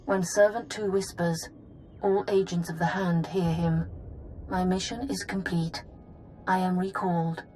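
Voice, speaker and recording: A woman's voice: lowish voice, fairly even intonation, measured pace, darkish tone, a little hiss or hum, close to an everyday voice, low-quality recording. A woman voicing a solemn servant of a secretive order in a video game, speaking in a clipped, formal, detached way.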